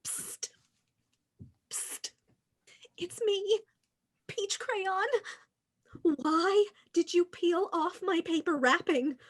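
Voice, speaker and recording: A woman voicing a shy, embarrassed peach crayon in a comic storybook reading, hushed and confiding. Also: very high-pitched, drawn-out words, long pauses, heavily acted.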